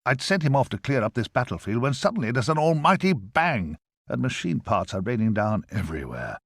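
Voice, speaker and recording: Animated, grumbling ferryman of the dead. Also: medium pitch, brisk, breathy edge, dry recording, studio quality, exaggerated voice. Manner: wry, rambling, conversational. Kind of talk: video game cutscene acting.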